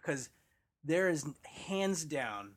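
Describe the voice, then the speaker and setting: A man co-hosting a movie podcast, talking in an excitable, casual way with frequent swearing and laughter. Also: fairly high pitch, very slow. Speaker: podcast co-host. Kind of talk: casual chat.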